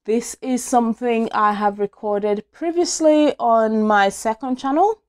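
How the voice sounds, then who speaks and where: A woman's voice: on the high side, a little slow, darkish tone, clear, resonant tone. A young woman from Nigeria in a small indoor room, telling her own story to camera in a casual, open way, with many fillers and self-corrections, recorded on a lapel mic. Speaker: vlogger. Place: small indoor room against a plain wall. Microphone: small clip-on lapel mic.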